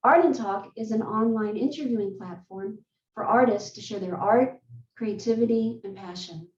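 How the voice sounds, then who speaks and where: A woman hosting an online interview, speaking in a friendly, measured way over a video call. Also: fairly high pitch, a little mellow, a little hiss or hum. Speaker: host. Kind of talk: scripted intro, then interview. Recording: roomy, distant, dull video call audio.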